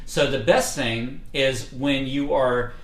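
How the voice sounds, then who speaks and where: Male vocal coach, mid pitch, casual livestream lesson full of fillers, roomy echo. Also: takes it slowly. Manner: relaxed, conversational, many fillers. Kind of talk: casual instructional talk. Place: indoors, livestream setup.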